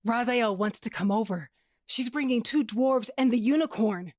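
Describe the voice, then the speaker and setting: A woman as an exasperated high-voiced wife. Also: moderate pace, no echo, close to an everyday voice. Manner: sharp, exasperated. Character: exasperated, bossy wife in a fantasy household. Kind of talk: fantasy audio drama acting.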